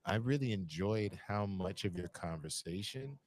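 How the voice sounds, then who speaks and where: A man with a deep voice, musing at length in a reflective conversation. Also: lively intonation, moderate pace. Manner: musing, searching, repeats phrases.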